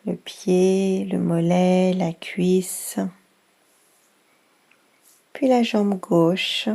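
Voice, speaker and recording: Female relaxation guide for kids, very slow and mellow, soft delivery with long pauses. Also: on the low side, steady tone, creaky. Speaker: yoga nidra guide.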